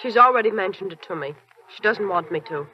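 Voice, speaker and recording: An actress playing a cold, bitter young nurse who answers curtly, in a 1940s radio drama. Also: female voice, medium pitch, fast, slight background noise, lightly acted. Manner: curt, flat replies. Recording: dull 1940s radio broadcast.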